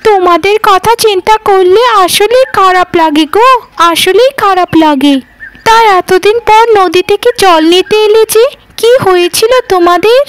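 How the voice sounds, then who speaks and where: A woman's voice: very high-pitched, moderate pace. A woman voicing a haughty, boastful well-off housewife in a cartoon, talking down to others in a condescending, gloating way. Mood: mocking. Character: haughty, boastful well-off village housewife, mocking poor neighbours. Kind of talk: cartoon voice acting.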